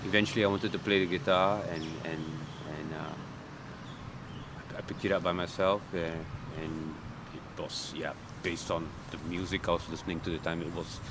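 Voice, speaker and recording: A middle-aged man with a Malaysian accent, chatting casually as an interview guest in a halting way, often repeating his words. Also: low-pitched, level tone. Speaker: guest, guitarist. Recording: clear urban traffic noise behind.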